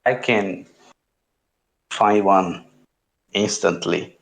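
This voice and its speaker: Young male guest from Italy, native Italian, low voice, very slow and terse. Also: vocal fry. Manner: short, unhurried answers with long pauses. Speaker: rapper, interview guest.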